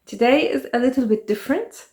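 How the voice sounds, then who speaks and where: A woman's voice: high voice, animated, a little fast, darkish tone. A woman in her forties from Egypt, hosting a chatty, personal vlog about her life in fragrances. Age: 40s. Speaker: fragrance channel host. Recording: echoey room.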